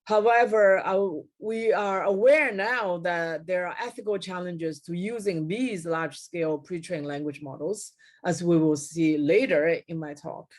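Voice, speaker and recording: A middle-aged woman giving a technical keynote lecture over slides on a video call, pausing often with fillers and correcting herself mid-word. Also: fairly low pitch. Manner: frequent fillers and self-corrections. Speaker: keynote speaker. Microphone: webcam at desk, video call.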